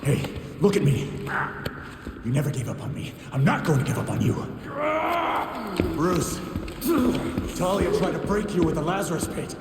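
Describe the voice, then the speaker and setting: A man's voice: on the high side, normal speed, long pauses, close to an everyday voice. An actor playing a young vigilante in a video game cutscene, loyal and earnest, urgently pleading with his mentor. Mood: earnest urging. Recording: echoey reverberant hall, loud background noise.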